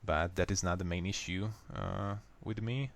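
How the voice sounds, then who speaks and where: A man with a Hungarian accent, a native Hungarian speaker, calmly walking viewers step by step through a software fix in a screen recording. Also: low voice, creaky, slight background noise. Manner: unhurried, plain, step-by-step explaining. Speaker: software tutorial maker. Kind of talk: screen-recorded how-to walkthrough.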